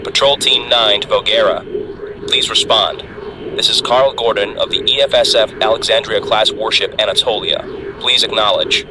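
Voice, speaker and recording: Man plays clipped, loud warship commander, lowish voice, engine hum. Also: fairly even intonation, moderate pace, natural voice. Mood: urgent. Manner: loud, clipped radio calls. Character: male patrol commander on a warship.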